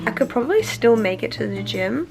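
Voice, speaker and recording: A woman's voice: a little high, big pitch swings, brisk, vocal fry, over loud music. A young woman chatting casually to camera at home in her apartment, self-mocking and swearing now and then. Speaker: lifestyle vlogger.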